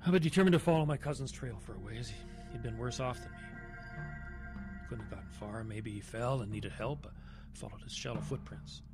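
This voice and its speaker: Music plays behind a haunted male survivor of the wilderness , played by an actor. He recounts his terror in a mid-pitched voice, halting and then swelling dramatically, in the manner of an audio horror drama. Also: close to an everyday voice. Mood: dread, awe.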